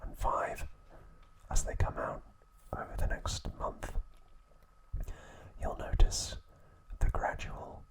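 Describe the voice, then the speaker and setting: Man, ASMR narration, hushed unvoiced whisper, breathy, slow pace, careful gentle phrasing. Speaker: ASMR channel host.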